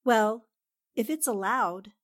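A woman's voice: fairly high pitch, takes it slowly, fairly bright tone. A woman playing a self-assured, teasing newspaperwoman with little patience in a 1940s-style radio drama. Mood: teasing, exasperated. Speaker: actor in an amateur radio drama re-creation.

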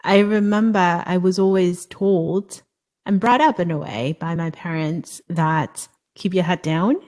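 A woman's voice: fairly low pitch, fairly even intonation, a little slow, darkish tone. Earnest woman from Hong Kong, interviewing. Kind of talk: conversational interview.

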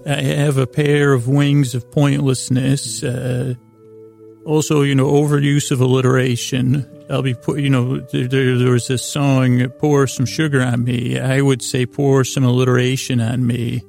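A man with a creaky voice hosting a bedtime podcast, meandering hesitantly in a soothing, reassuring way and often trailing off mid-sentence. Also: little pitch movement, unhurried, a little mellow, soft background music. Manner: soothing, low-pressure reassurance. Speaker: host of a bedtime podcast for sleep. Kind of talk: rambling, meandering bedtime monologue.

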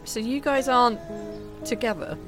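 A woman's voice: fairly high pitch, moderate pace, lightly acted. A woman playing a friendly, awkward, hesitant survivor in an audio drama. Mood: flustered. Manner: hesitant, flustered. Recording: music bed behind the voice.

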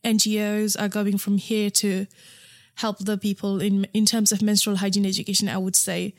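A woman talks openly and chattily as an interview guest, often restarting her sentences. Her voice sits in a middle pitch. It is recorded close and dry, with no room sound. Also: flat delivery, normal speed, dry recording. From Nepal. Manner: frank and chatty, with frequent fillers and restarts. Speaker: interview guest, founder of a period underwear brand. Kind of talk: casual interview conversation.

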